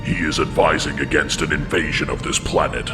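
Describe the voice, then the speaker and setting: A man playing a grave, blunt alien general in an audio drama, with a measured, ominous delivery. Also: a bass voice, steady tone. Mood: grave, firm. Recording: long cavernous reverb under loud music.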